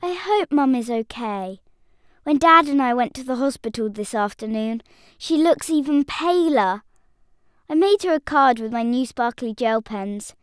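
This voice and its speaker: Woman doing a little girl, high voice, simple sad childlike way of talking, audio drama. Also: animated, a little slow, smooth, no room sound, studio quality. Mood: wistful, sad. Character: young girl, sad, hopeful, daughter of a dying mother. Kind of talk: audio drama monologue and dialogue.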